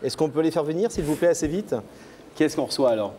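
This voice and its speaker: A man's voice: fairly high pitch, lively intonation, fast talker. A man co-hosting a TV show in a studio, announcing guests before an audience. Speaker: TV show co-host. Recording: studio audience and noise behind, voice off-mic.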